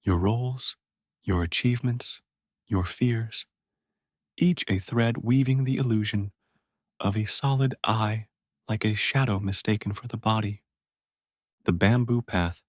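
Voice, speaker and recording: A man narrating sleep meditation stories in a gentle, calming, measured way, like a guide at bedtime. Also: fairly low pitch, no room sound, studio quality.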